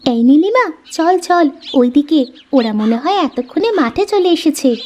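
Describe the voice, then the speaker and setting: A woman's voice: high voice, animated, a little slow, mellow. A woman voicing an easygoing young village girl in a cartoon story, friendly and girlish, on a muffled, noisy recording.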